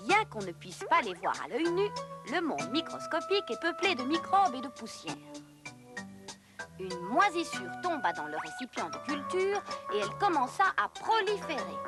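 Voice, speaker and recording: Female cartoon narrator, high voice, scripted educational storytelling, clear music bed. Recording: music behind the voice.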